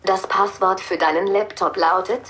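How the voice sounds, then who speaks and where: A woman voicing a helpful digital voice assistant, measured and obliging. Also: medium pitch, fairly even intonation, fairly bright tone, natural voice. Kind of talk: scripted assistant prompts. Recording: echoey, faint noise.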